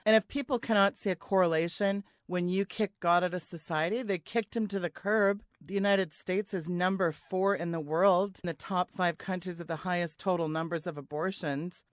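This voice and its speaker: A woman hosting a talk show, delivering measured, moralizing commentary that sounds read from prepared remarks. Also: lowish voice, no room sound, clean studio sound. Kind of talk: scripted commentary.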